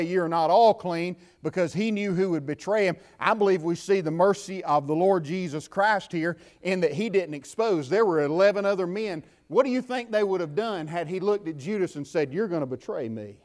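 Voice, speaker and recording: High-voiced Southern preacher, fervent. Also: male voice, normal speed, few pauses. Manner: fervent preaching, builds to shouts then drops quiet. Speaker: pastor. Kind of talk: sermon. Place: church.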